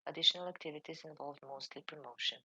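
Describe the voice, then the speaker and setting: A woman from Serbia, a librarian, reading a formal conference presentation in a measured way. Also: sits low, bright, forceful tone, breathy edge, no echo, low-quality recording.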